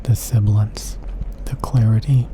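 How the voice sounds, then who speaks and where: A man talking straight into a close studio mic in a quiet home recording room. His talk is emphatic and repetitive, with long strings of adjectives and some stretches dropping into a whisper. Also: lowish voice, fairly even intonation, a little slow, noisy background. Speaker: audio gear reviewer. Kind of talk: casual spoken monologue. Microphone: close-up studio condenser mic.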